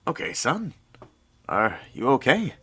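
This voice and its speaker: A man's voice: mid-range voice, big pitch swings, takes it slowly, fairly bright tone, slightly rough, exaggerated voice. A man playing an earnest, protective inventor father in an audio drama, speaking in a hesitant, fatherly way. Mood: flustered.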